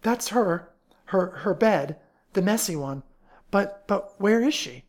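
Woman acting a nervous, high-pitched young lady. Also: expressive, slow pace, darkish tone. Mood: shaken. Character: nervous, easily shaken young lady in detective story.

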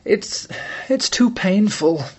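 A man's voice: high voice, expressive, takes it slowly, darkish tone, slightly rough, pitched above the performer's own voice, heavily acted. A man voicing a grieving young woman who falters and stammers through a tearful plea, for an audiobook. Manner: faltering, tremulous, stammers under pressure.

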